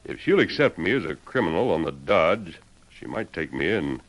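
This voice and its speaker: Heroic old radio cowboy lawman, real deep american voice, formal and polite, expressive. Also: full character voice, rough recording. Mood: calm, probing. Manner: formal, measured, courteous diction. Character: steadfast masked Old West lawman hero, courteous, authoritative. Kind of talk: old-time radio Western acting.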